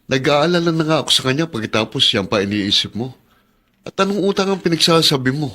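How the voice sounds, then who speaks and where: An earnest, protective Filipino brother in a radio drama. His voice is slightly high and forceful, with an exasperated edge. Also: animated, fast. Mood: defensive.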